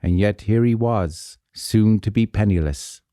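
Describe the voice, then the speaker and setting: A man narrating a folk tale as a storyteller, measured and theatrical, with deliberate pauses. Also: lowish voice, mellow, no echo, clean studio sound. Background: music under the voice.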